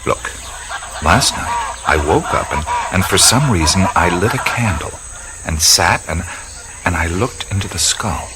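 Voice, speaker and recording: Soft-spoken adventurer man, very deep voice, lively pitch, hesitant and musing, radio drama, loud crickets behind. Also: unhurried, heavy background noise. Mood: earnest. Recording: radio play with loud night insects.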